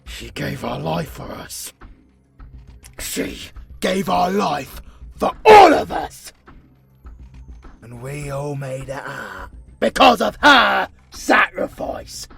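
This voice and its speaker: A man playing a vengeful, honor-bound demon in a fantasy audio roleplay, theatrical and menacing yet gruffly hospitable. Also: a little high, very animated, fairly bright tone, soft background music, heavily acted. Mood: grieving, vengeful. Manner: menacing, theatrical, drawn-out phrasing.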